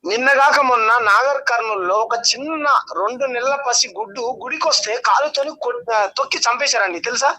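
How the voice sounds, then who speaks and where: A man with a Telangana accent speaks in a very high, bright, sharp voice, and he talks fast. He argues hard in a phone-in debate and keeps asking the other side to listen. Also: dry recording. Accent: Telangana Telugu. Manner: pleading yet combative, keeps asking to be heard. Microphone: phone call.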